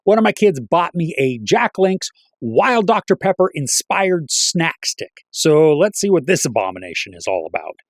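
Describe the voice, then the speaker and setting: A middle-aged man speaks as a reviewer in a somewhat high voice. His delivery is scripted and articulate, with wry opinions. The sound is dry, with no room echo. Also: very animated, normal speed, few pauses. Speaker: film reviewer and channel host. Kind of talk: scripted movie review to camera.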